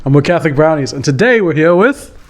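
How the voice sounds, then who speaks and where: A man's voice: a little high, animated, quick pace. A man hosting a casual podcast, bantering and joking with slang. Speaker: podcast host.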